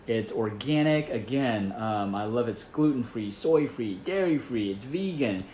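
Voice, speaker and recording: Male health presenter from Taiwan, mid-pitched, conversational acupuncturist explaining things simply over some background noise. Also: expressive, a little slow, a little mellow. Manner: conversational, checks in with short tag questions. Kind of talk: casual instructional talk to camera.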